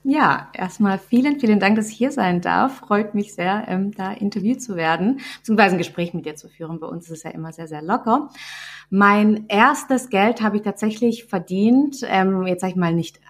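A woman's voice: medium pitch, normal speed. A woman from southern Germany with a Swabian accent, talking casually as a podcast guest. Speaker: podcast guest, company founder. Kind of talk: casual conversational anecdotes.

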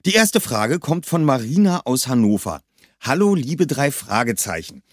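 A man's voice: mid-range voice, very animated, moderate pace, no echo, clean studio sound. A male podcast host presenting casually, chatty and upbeat, introducing listener questions.

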